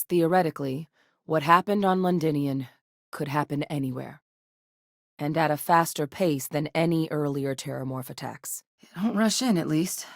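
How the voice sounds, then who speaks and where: A dedicated woman scientist from a science fiction video game. Her voice sits low and is recorded dry and close. She speaks with measured, articulate care and a grave, earnest temper. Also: no echo. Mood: serious, matter-of-fact. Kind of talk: video game character dialogue.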